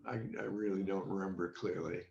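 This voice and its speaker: An older man reminiscing as an interview guest, speaking in a halting, deliberate way over a video call. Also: low voice, fairly even intonation, creaky, darkish tone, a little hiss or hum. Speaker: interview guest, former child radio quiz contestant. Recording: dull, echoey video-call audio.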